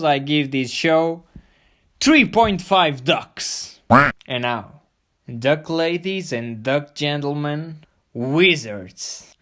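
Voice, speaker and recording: A man hosting a scripted video review, playful and full of jokey asides. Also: a little high. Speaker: host of a review show.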